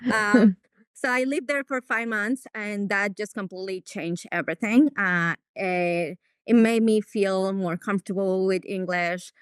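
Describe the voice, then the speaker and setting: A woman's voice: mid-range voice, measured pace, clean tone, no room sound. A woman from Mexico with a Mexican accent and Spanish as her first language, answering interview questions conversationally as a veterinarian. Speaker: veterinarian, podcast guest.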